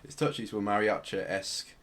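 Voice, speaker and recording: A man hosting a podcast, explaining things casually in a hesitant, measured, dry way. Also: lowish voice, steady tone, a bit bright. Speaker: podcast host presenting outsider music. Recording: clear background noise.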